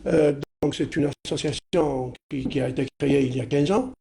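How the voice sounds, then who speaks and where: An Algerian-born man with the flu, a charity president giving a halting studio interview and repeating his words as he hunts for them. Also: on the high side, vocal fry, mellow, a little hiss or hum. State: congested, has the flu.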